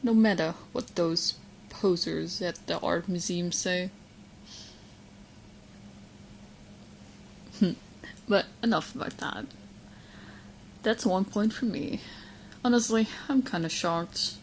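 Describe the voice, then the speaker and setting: A woman's voice: on the low side, slow pace, vocal fry, a little hiss or hum, low-quality recording. A young woman voicing a sweet, romantically obsessed witch girlfriend in an ASMR roleplay, playful and possessive as she speaks straight to the listener. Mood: teasing, smug. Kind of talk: ASMR roleplay audio drama, spoken to the listener.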